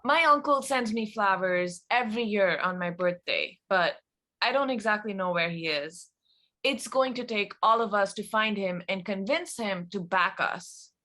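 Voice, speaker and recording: A woman's voice: medium pitch, moderate pace, pushed and bright, natural voice. A woman playing a confident, persuasive schemer in a table read. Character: confident, persuasive woman pitching a bold plan.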